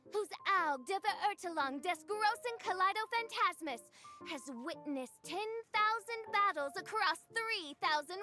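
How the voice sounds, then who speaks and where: A woman's voice: very high-pitched, sharp tone, exaggerated voice. A woman voicing a grandiose teenage self-styled princess in an anime game, declaiming in a pompous, florid, archaic manner.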